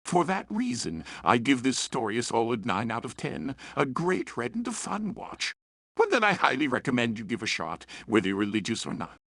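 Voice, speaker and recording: Lively, gravelly man reviewing stories. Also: medium pitch, normal speed, no echo, studio quality. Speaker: story reviewer. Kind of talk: scripted review narration.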